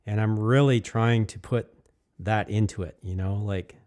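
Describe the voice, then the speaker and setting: A man with a lowish, mellow voice talks through his own work in a loose, reflective way. His tone is smooth and clear, and he wanders through thoughts with plenty of "um"s and self-corrections. He sounds candid and genuinely keen. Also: fairly even intonation, a little slow. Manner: easygoing and rambling, with many fillers and restarts; candid and enthusiastic. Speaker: muralist narrating his own documentary. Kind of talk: casual reflective voice-over.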